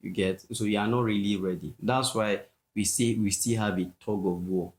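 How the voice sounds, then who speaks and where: A man's voice: on the low side, steady tone, moderate pace. A man, a pastor talking casually on a podcast, repeating his points and often asking "you get?".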